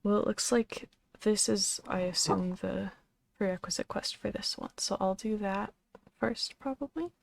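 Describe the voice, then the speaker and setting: A young woman streaming a game from home, thinking aloud in a soft, rambling way and gently coaxing her pet between remarks. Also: mid-range voice, measured pace, vocal fry. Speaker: streamer and channel host. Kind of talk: casual game-stream chatter.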